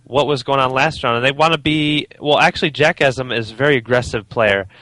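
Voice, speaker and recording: A young man co-casting a live game tournament, teasing his partner between fast play-by-play calls. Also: mid-range voice, sharp tone, no echo, low-quality recording. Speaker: esports caster.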